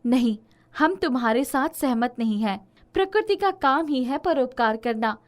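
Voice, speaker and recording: A woman acts out a bossy, righteous fairy from a storybook. She has a high voice and speaks firmly, scolding with concern and resolve. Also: lively intonation, a little slow. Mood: stern. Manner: firm, scolding. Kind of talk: animated storybook character acting.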